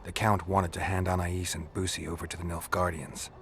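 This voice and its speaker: Gruff mercenary monster hunter, fantasy game. Very deep, gravelly, flat and unimpressed delivery. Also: male voice, fairly quick, a little hiss or hum. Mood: matter-of-fact. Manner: terse, deadpan. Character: gruff, stoic male monster hunter for hire. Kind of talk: video game dialogue acting.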